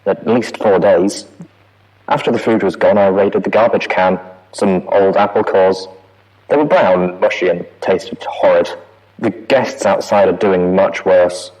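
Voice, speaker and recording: A man's voice: sits low, a little slow, a little mellow, poor audio. A man voicing a frightened male prison staff pony, trapped and pleading, heard as a recorded audio log. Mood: weary, haunted. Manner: fearful, pleading, rising to panic. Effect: audio log playback.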